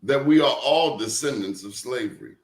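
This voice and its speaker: A man talks in a casual, animated way and drives his points home with emphatic rhetorical questions. His pitch rises and falls a lot as he tells his stories. The room has a clear echo around him. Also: normal speed. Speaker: professor and guest. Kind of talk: casual conversational talk.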